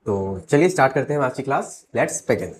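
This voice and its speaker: An Indian man gives a lesson in a medium-pitched, slightly hoarse voice. He explains like a teacher, one step at a time, and often poses a question before answering it. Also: big pitch swings, normal speed. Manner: step-by-step, checks in with rhetorical questions. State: slightly hoarse, sore throat. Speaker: accountancy teacher. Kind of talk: explanatory lesson mixing Hindi and English.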